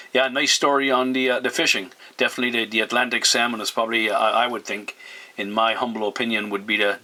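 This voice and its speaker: A man chatting casually as a radio operator, speaking in a polite, deliberate way. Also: on the low side, pushed and bright. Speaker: radio operator, video maker.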